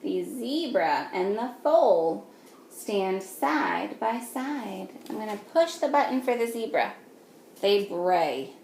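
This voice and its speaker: A woman teacher reading a picture book aloud to young children, patiently, in a sing-song way, pausing to ask them simple questions. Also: medium pitch, animated, creaky, a little mellow. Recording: echoey room with faint noise.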